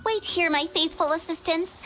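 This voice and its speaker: A woman's voice: normal speed, a bit bright. A woman voicing a quirky, cheerful young funeral parlor director in a video game, with a playful, pushy sales manner. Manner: theatrical sales pitch, playful. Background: faint music.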